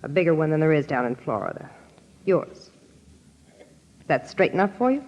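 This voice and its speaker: A woman's voice: low voice, sing-song, moderate pace, a little mellow. An actress playing a tough, jittery girlfriend with a secret in an old-time radio crime drama, talking slangy and urgent. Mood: hard, defiant. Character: hard-boiled, jittery young gangster's girlfriend with a secret. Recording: dull, lo-fi old radio recording.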